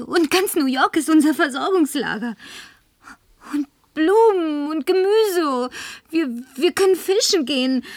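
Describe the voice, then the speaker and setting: A woman's voice: sits high, gravelly, exaggerated voice. An actress dubbing a spoiled, lonely young city woman who talks in a playful, coaxing, teasing way. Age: young adult. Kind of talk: German film dub.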